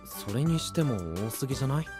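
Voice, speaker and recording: A man plays a level-headed young knight from an anime audio drama. His delivery is casual and dryly sceptical, with lively swings of pitch on each retort, over soft background music. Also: fast talker, natural voice. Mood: exasperated, sceptical. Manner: casual, dry questioning, exasperated retorts. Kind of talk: anime-style voice drama acting.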